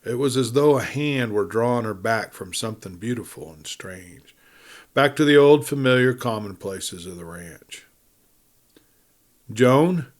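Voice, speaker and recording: A man narrating a western novel as an audiobook, in a measured, deliberate storytelling style with dramatic pauses. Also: fairly low pitch, lively intonation.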